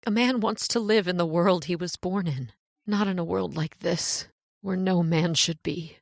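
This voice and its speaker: A woman's voice: lowish voice, moderate pace, vocal fry, no room sound, studio quality. An actress playing a fatalistic, tearless woman lost in prehistoric time, grave and dramatic in old-time radio horror style. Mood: weary, resigned.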